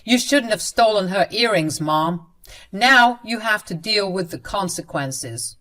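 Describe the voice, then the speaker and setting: A woman's voice: medium pitch, expressive, a little slow, a bit bright. Give me a woman playing a stern son scolding his mother firmly. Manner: firm and scolding.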